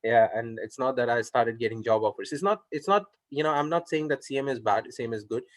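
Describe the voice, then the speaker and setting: A man's voice: medium pitch, quick pace. A young man from India chatting in a casual conversation, talking with many fillers and restarts. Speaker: interviewer. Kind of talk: casual video call conversation.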